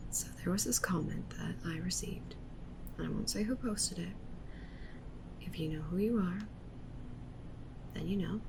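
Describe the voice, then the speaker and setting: A woman playing a yandere witch VTuber in an ASMR livestream roleplay, talking softly and haltingly to her chat, sweetly menacing and self-justifying. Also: gravelly. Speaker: streamer. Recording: noisy with low rumble.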